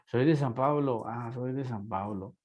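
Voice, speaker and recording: A man's voice: mid-range voice, measured pace, darkish tone. A man with a Mexican accent, lecturing a class and asking rhetorical questions. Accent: Mexican Spanish. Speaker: teacher.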